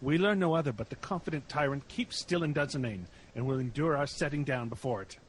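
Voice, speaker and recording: An actor playing an earnest noble war leader in a Shakespearean audio drama, speaking in a formal, measured way. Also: male voice, mid-range voice, a little hiss or hum, lightly acted, low-quality recording.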